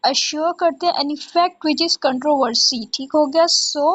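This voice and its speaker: A woman's voice: high-pitched, fairly bright tone, no room sound. A woman with a Pakistani accent lecturing as a law teacher, reading out notes and explaining them repetitively, often asking and answering her own questions. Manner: switches between Urdu explanation and slowly read English. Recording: dull, lo-fi close recording.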